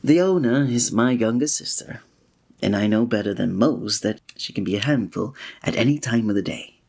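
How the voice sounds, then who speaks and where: A man's voice: on the low side, lively intonation, normal speed, gravelly, darkish tone, breathy edge, heavily acted. A man playing a flirtatious, courteous innkeeper in a fantasy village, speaking formally in a scripted ASMR roleplay addressed to the listener. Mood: hospitable, wry. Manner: formal, old-fashioned, teasing, suave diction.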